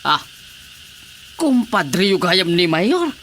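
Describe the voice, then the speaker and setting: A man's voice: high voice, very animated, very slow, bright, forceful tone, a little hiss or hum, full character voice. A man with an Ilocano Filipino accent playing a fawning, teasing village councilman in a radio comedy drama. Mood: curious, amused. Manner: obsequious, teasing. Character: fawning, chuckling village councilman flattering his chairman.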